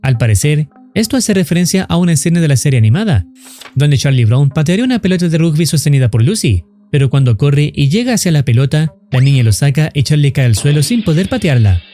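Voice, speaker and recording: A man's voice: on the high side, very animated, quick pace, dark tone, soft background music. A man reading scripted narration for a horror explainer video.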